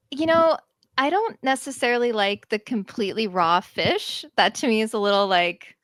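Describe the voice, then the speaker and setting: A woman from Hawaii, a guest healer who laughs often and chats gently at home. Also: a little high, moderate pace, no room sound, clean studio sound. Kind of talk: casual interview chat. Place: home, indoors.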